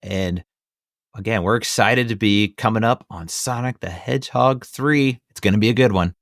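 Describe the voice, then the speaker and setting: Guy in his 30s doing a movie review podcast, kinda deep, no room echo, rambles with emphasis. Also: moderate pace, clean studio sound. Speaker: podcast host. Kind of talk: casual film review chat.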